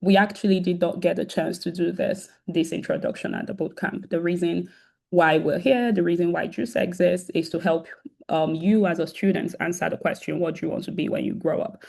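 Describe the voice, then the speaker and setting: A woman's voice: lowish voice, steady tone, fairly quick, vocal fry, darkish tone. A woman with a sore throat and an occasional cough hosts a virtual career event on a video call. She talks casually to students, with many "um" fillers and restarts.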